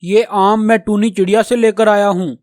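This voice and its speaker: A man's voice: high-pitched, steady tone, moderate pace, darkish tone, dry recording, studio quality, close to an everyday voice. A man voicing a friendly, chatty male crow who shops for mangoes in a children's cartoon. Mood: friendly, eager.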